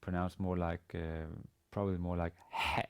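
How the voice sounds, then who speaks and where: A man in his forties, a native Danish speaker with a Danish accent, giving an instructional lecture in a halting, hesitant manner with repeated words. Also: sits low, little pitch movement, clear, resonant tone. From Denmark. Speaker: university language teacher. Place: indoor studio with plain grey backdrop.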